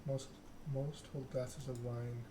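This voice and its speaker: A man narrating game text in a measured, soothing ASMR style, as a streamer reading aloud. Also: mid-range voice, level tone, background noise.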